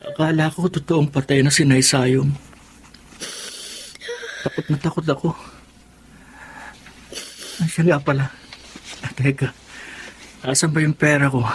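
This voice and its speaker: A man's voice: medium pitch, a little hiss or hum, natural voice. An actor playing a troubled young man haunted by nightmares, frightened and pleading in a radio drama.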